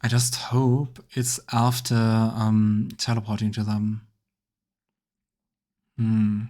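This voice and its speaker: A man's voice: fairly low pitch, monotone, takes it slowly, a little mellow. A man streaming a game, thinking aloud and muttering commands with plenty of hesitation. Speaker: let's play streamer. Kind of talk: casual gameplay commentary. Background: faint game music.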